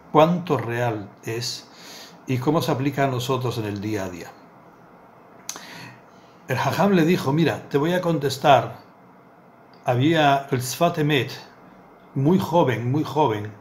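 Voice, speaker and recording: Earnest middle-aged rabbi telling a parable. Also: male voice, a little high, a little mellow, slight background noise. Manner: earnest storytelling, repeats words for emphasis. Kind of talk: Torah lesson with storytelling. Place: small indoor study with bookshelves.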